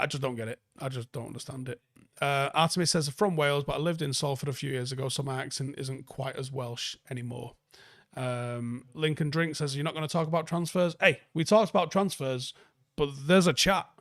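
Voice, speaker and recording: Male livestream host, softened Mancunian accent, creaky mid-pitched voice, close dry sound, blunt and sweary off-the-cuff chat. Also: no room sound. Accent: northern English, softened Manchester. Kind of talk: casual chat, answering viewer comments.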